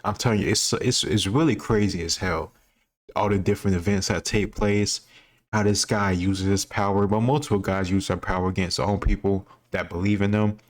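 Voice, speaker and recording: Deep-voiced man thinking out loud. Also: fairly even intonation, normal speed. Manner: informal, thinking aloud. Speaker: host answering history quiz questions. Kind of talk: casual reaction commentary.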